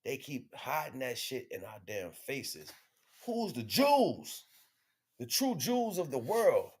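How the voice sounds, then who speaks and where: A man delivering an impromptu spiritual monologue in an emphatic, repetitive, slangy street-preacher manner, with a husky voice. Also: medium pitch, huge pitch swings, unhurried, darkish tone. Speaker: spiritual teacher streaming online.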